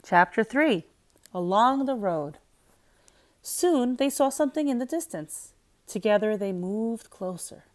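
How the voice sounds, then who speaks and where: A woman's voice: on the high side, lively intonation, a little slow, darkish tone. A woman teacher reading a children's storybook aloud as narrator.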